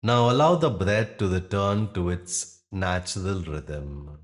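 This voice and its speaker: A man guiding a yoga nidra meditation in a soothing, instructional manner with pauses between phrases. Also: low-pitched. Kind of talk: guided meditation narration.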